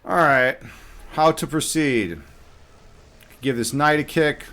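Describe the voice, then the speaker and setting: A man's voice: fairly high pitch, slow, bright, forceful tone. A man giving casual live commentary on his own game as a streamer, muttering half-finished thoughts to himself as he thinks aloud.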